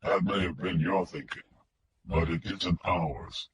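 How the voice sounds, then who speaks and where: A man voicing a menacing male kidnapper who speaks through a voice filter, with slow, cold, flat threats. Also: sits low, husky, dry recording, voice pushed down, heavily acted. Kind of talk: audiobook narration.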